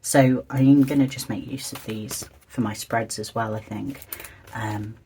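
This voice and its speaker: A vlogger with a slightly croaky voice, chatting quietly and hesitantly, thinking aloud while decorating a journal. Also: fairly even intonation, measured pace, a little hiss or hum. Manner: hesitant, meandering, soft-spoken and low-key. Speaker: stationery and journaling video creator.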